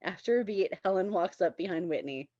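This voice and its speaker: A woman's voice: fairly low pitch, a little fast, dark tone, no echo, highs cut off. A woman reading screenplay stage directions aloud at a table read, in a giggly way. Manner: giggly, often breaking into laughter.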